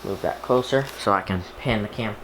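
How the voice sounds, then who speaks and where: A man with a fairly low voice narrates a hands-on unboxing in a casual, halting way, partly muttering to himself. He is recorded in an echoey room, with clear noise in the background. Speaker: YouTuber unboxing and setting up a radio. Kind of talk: casual unboxing narration while handling items.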